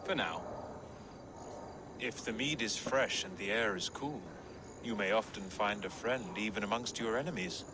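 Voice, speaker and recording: An actor playing a courteous, calculating medieval English reeve with a measured, dryly ironic manner. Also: male voice, lowish voice, background noise, close to an everyday voice. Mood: wry, courteous. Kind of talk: video game character acting.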